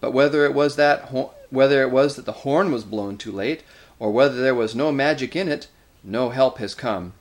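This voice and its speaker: A man's voice: medium pitch, normal speed, close to an everyday voice. A man voicing a bitter, angry dwarf rebel in a storybook, snarling, scornful and accusing. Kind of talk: dramatic audiobook character reading.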